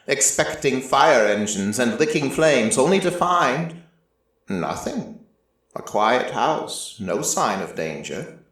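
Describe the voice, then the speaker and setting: A man playing an ancient, merciless deity who narrates a story in a measured, solemn way with deliberate pauses. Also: medium pitch, fairly bright tone. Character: ancient merciless deity, male-voiced, reflective and quietly fond. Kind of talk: scripted first-person dramatic narration. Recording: echoey room, slightly distant from mic.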